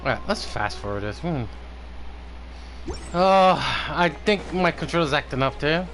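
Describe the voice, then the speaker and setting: A man's voice: on the high side, very animated, a little slow, a bit bright, faint music behind. A man giving casual, rambling live gameplay commentary, swearing and prone to giggling. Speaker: game streamer.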